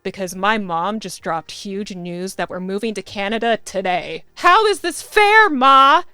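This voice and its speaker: A woman's voice: medium pitch, steady tone, normal speed, clean tone, no echo, close to an everyday voice. A woman voicing a melodramatic, indignant sixteen-year-old emo girl with exaggerated, whiny outbursts in a fan fiction reading. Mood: outraged.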